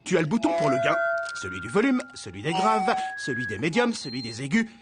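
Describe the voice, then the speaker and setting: A man voicing an over-excitable, bossy and boastful rock-loving cartoon dad with a theatrical delivery. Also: on the high side, fairly quick, heavy background noise. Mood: enthusiastic showing off. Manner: theatrical, shouty outbursts. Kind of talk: French animated series dub.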